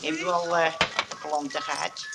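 An actor playing a muddled, cheerful old grandfather in a radio play, halting and repeating himself. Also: fairly high pitch, little pitch movement, vocal fry, over loud music. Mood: rambling. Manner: rambling, repetitive, halting.

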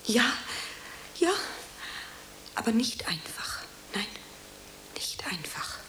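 A woman's voice: on the high side, sing-song, takes it slowly, sharp tone, whispered, full character voice. A woman playing a dreamy, self-dramatizing young aspiring actress in a radio play, theatrical and whimsical in her delivery. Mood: earnest, evasive. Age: young adult.

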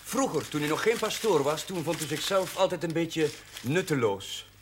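An actor playing an earnest young chaplain who gently teases his senior, in a 1970s television drama. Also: fairly high pitch, expressive, normal speed, a little hiss or hum, lightly acted. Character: earnest young male priest, eager and teasing.

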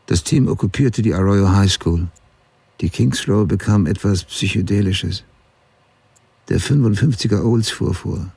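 A man's voice: lowish voice, measured pace, creaky, a little mellow, breathy edge, no room sound, exaggerated voice. An actor playing a sober, hard-boiled crime writer who narrates his past in a terse, matter-of-fact way in a radio drama.

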